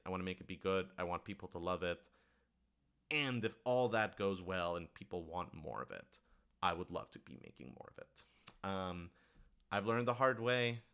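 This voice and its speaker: Clear-voiced man, fairly low, casually enthusiastic. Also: fairly bright tone. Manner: earnest, enthusiastic, rambling asides. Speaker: writer-director answering audience questions. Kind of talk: casual livestream Q&A.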